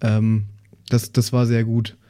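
Casting: male co-host, mid-range, dark mellow tone, unassuming casual talk. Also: medium pitch, completely flat delivery, takes it slowly. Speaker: podcast co-host and role-player. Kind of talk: casual chat.

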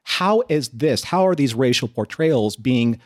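A man's voice: medium pitch, animated, a little slow. Earnest American man in discussion. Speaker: podcast panelist. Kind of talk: conversational discussion.

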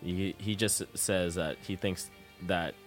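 A man's voice: low-pitched, fairly even intonation, slow, faint music behind. A middle-aged man hosting a casual, conversational interview, meandering and hesitant in his phrasing. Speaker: radio show host, interviewer.

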